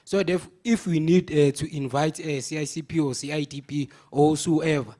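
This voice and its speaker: A man asking a formal question from the floor, with many pauses. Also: fairly high pitch, fairly even intonation, mellow. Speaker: conference delegate.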